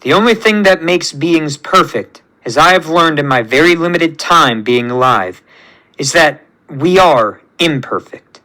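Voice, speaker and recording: A man voicing a solemn, righteous superhuman who defies his creator, speaking in a measured, earnest way in a superhero story. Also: a little high, lively intonation, pushed and bright, poor audio. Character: solemn, righteous young male superhuman rebelling against creator.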